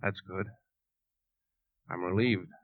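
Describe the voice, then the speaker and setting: A man's voice: sits low, fairly even intonation, slow pace, dry recording. An actor playing an earnest, anxious, determined man in 1950s radio suspense acting. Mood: grave, accusing. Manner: earnest, tense, hesitant. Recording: dull 1950s radio recording.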